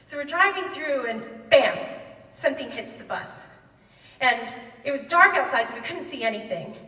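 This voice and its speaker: A woman telling a comic true story live on stage, wry and quick to laugh, recorded in a hall by a microphone set back from her. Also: high voice, expressive, sharp tone. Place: theater stage before an audience. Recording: echoey hall, mic from far off.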